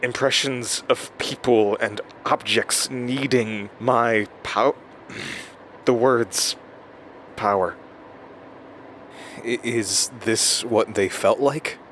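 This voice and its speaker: An actor in an audio drama playing a rattled, self-doubting man who records a halting, stammering journal, talking to himself. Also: mid-range voice. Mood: dazed, anxious. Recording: steady noise, like inside a moving car.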